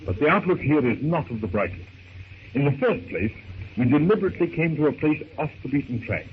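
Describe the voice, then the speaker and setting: An actor playing a practical, level-headed gentleman adventurer with a measured, matter-of-fact delivery in old radio drama style. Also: fairly high pitch, expressive, close to an everyday voice. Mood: sober, reassuring. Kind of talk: 1930s radio drama acting. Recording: tinny, noisy old radio recording.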